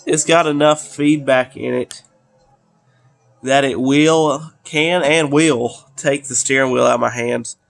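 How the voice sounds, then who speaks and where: A man's voice: medium pitch, fairly even intonation, slow pace, creaky, faint music behind. A teenage man, congested from allergies, rambling with many fillers while commenting on a racing game. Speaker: gaming video creator.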